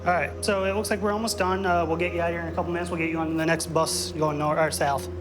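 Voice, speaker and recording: A border officer, a man with a slightly raised pitch, talks quickly and briskly while questioning and briefing on a case. He stays businesslike throughout, with music playing behind him. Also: level tone. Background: background music.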